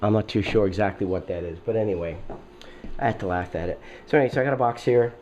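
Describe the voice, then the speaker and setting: A lower-voiced man with a stuffy, nasal head cold talks his way through unboxing something at home. He rambles with plenty of fillers and clears his throat often. Faint background noise sits under the camera-mic sound. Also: fast, a little mellow, muffled recording. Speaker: video host. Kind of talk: casual unboxing talk.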